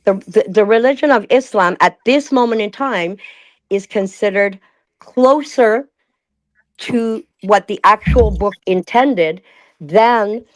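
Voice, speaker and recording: A woman in a casual livestream discussion, thinking aloud with many restarts and reading passages out slowly. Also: medium pitch, low-quality recording.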